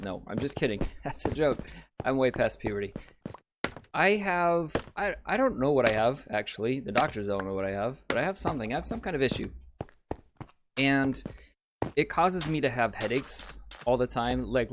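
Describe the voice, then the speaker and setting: Slightly raspy man, chatty gameplay host. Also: mid-range voice. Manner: rambling, chatty, addresses viewers directly. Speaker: let's play host. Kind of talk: casual gameplay commentary. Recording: steady background noise.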